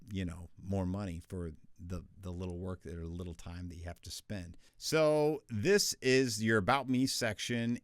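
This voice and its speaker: A man with a deep bass voice, chatting through freelance voiceover tips in a casual, conversational way. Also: dry recording, studio quality. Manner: chatty, conversational, with restarts and asides. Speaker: voice actor teaching freelancers. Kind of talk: casual tutorial talk.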